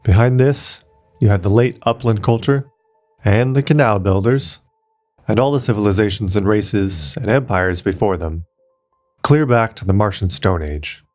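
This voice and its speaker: A man reading the part of an old, kindly, resigned male archaeologist in an audiobook, gentle and reflective. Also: lowish voice, normal speed, soft background music, lightly acted. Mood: weary.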